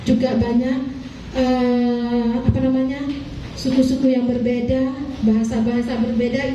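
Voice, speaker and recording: A woman's voice: sits high, warm tone, a little hiss or hum. Echoey distant woman addressing a hall. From Aceh. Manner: hesitant, many fillers, polite apologies. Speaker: speaker at a training session for neighborhood leaders. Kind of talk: formal public address. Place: meeting hall.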